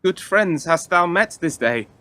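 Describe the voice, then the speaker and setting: An actor playing a dutiful, wary young son of a lord in a radio play, with formal archaic speech. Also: male voice, a little high, animated, a little slow, noisy background.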